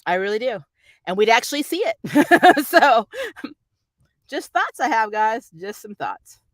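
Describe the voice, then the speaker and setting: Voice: medium pitch, big pitch swings, slow pace, no room sound, studio quality. A vlogger chatting off the cuff in a rambling, opinionated way, like a fan giving a review. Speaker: YouTube reviewer reading and answering viewer comments.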